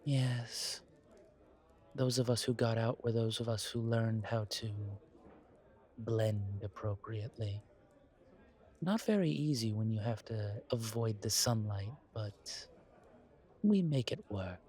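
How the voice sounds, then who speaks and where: Slow, courtly vampire gentleman, acted by a man. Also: on the low side. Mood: pensive, guarded. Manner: courtly, deliberate, pausing, sighing between phrases. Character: genteel, world-weary, wary old vampire survivor. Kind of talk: slow audio roleplay acting, addressed to listener.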